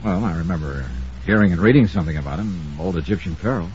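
Hard-nosed American investigator for a vintage radio drama, played by a man: low voice, quick delivery, doubting, lo-fi sound. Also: darkish tone, a little hiss or hum, natural voice. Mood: doubtful, wry. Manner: brisk, colloquial, doubting. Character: skeptical, hard-nosed male insurance investigator questioning a client. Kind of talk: old-time radio drama acting. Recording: dull lo-fi vintage radio recording.

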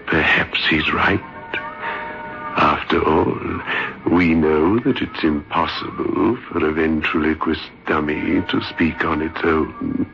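An actor playing a sardonic, macabre horror host on a radio show, drawling with mock concern. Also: male voice, very deep voice, steady tone, takes it slowly, creaky, exaggerated voice. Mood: sardonic, menacing. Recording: muffled old radio recording with music.

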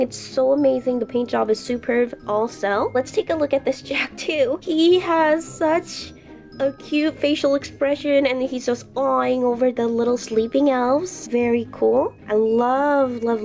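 High-voiced bubbly woman with a flu. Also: steady tone, normal speed, vocal fry, a little mellow, faint music behind. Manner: bubbly and gushing, repeats words for emphasis. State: recovering from flu, breathing heavily. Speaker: toy unboxing vlogger. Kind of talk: casual unboxing narration.

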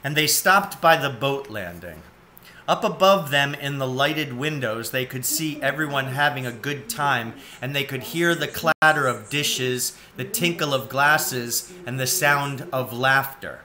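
A man's voice: mid-range voice, a little slow, sharp tone. A man in his forties reading a children's story aloud as narrator. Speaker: storybook reader. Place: cluttered indoor room at home, seated.

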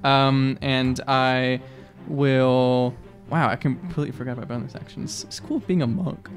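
A man's voice: mid-range voice, steady tone, measured pace. A man playing a tabletop game, talking casually with the other players. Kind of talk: casual actual-play table talk. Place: indoor room, around a gaming table. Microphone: close podcast microphone on stand. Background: faint music.

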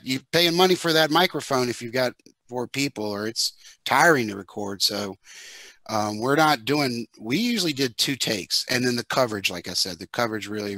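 A man in his fifties with a bright, forceful, fairly low voice gives casual advice as a podcast producer. He is halting but emphatic, and the sound is dry from a headset at home. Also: no echo. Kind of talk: casual advice on a video panel. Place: living room at home, indoors. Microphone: headset on video call.

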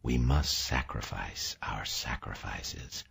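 A man voicing a gentle, grave woman in an audiobook, speaking softly and soothingly. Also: a bass voice, very animated, a little slow, breathy edge, pitched below the performer's own voice, full character voice. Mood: tender. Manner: soft, cooing, hushed. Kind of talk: audiobook character acting.

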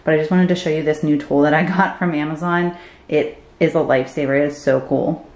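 A woman's voice: low voice, fairly even intonation, a little fast, darkish tone, reverberant, soft background music. A woman narrating her cleaning vlog in a casual, chatty way, often saying "like". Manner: chatty, lots of "like" fillers. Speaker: cleaning vlogger.

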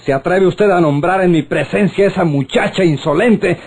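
An irascible Mexican secret police colonel in an old radio drama. His voice is fairly high and husky, with an imperious manner. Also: normal speed, a little mellow. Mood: angry.

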